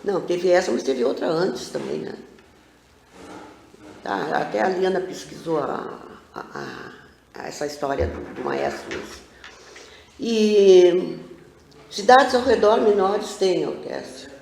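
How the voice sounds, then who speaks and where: A woman's voice: lowish voice, slow, vocal fry, slight background noise. An older woman, a guest telling rambling stories with many asides in a casual TV interview. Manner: animated, rambling storyteller with frequent asides. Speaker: guest, local cultural figure. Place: TV studio. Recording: roomy studio echo.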